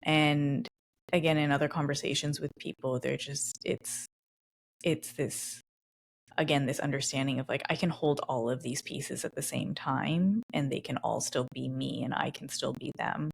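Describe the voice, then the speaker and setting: A woman's voice: a bass voice, fairly even intonation, normal speed, creaky, breathy edge. A woman hosting a podcast interview, reflecting aloud and thinking through ideas with frequent restarts. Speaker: podcast host. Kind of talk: conversational interview.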